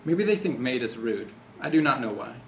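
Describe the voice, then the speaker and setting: A man's voice: mid-range voice, very animated, a little fast. A man reading a first-person short story aloud as an author. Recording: echoey room, faint background noise.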